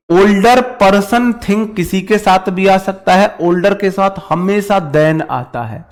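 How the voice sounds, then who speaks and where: A man with an Indian accent teaching a grammar lesson informally, drilling points by repetition and addressing students familiarly. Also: high-pitched. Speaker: English grammar teacher for exam candidates. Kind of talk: informal classroom-style grammar lesson, switching between Hindi and English.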